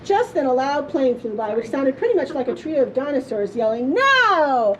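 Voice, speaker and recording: A woman storyteller reading a children's story aloud in a playful, theatrical way with drawn-out emphasis. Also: high voice, lively intonation, warm tone, a little hiss or hum, low-quality recording. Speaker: librarian storyteller. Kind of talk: expressive children's storybook reading for a puppet show.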